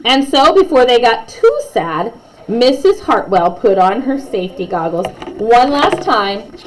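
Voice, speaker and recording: A woman's voice: on the high side, big pitch swings, unhurried, background noise. A woman reading a children's bedtime story aloud expressively, with chatty asides to young listeners.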